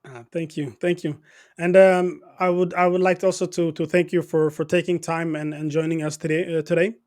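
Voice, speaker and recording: A man hosting an interview programme, speaking haltingly and often repeating words as he addresses his guest. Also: fairly high pitch, flat delivery, a little mellow.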